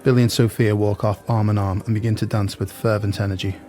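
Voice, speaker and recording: A man's voice: fairly low pitch, level tone, fast talker, mellow, faint music behind. A man reading scripted narration for an audio play.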